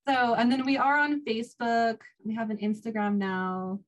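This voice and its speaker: A woman's voice: on the high side, fairly even intonation, moderate pace. Casual, informal woman guest on a podcast. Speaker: guest, self-published author. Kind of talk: casual interview chat.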